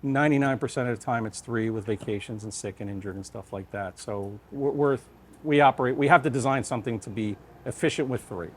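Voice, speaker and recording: A man being interviewed as a fire lieutenant, talking conversationally and deliberately in short, thought-out phrases. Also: medium pitch, slight background noise.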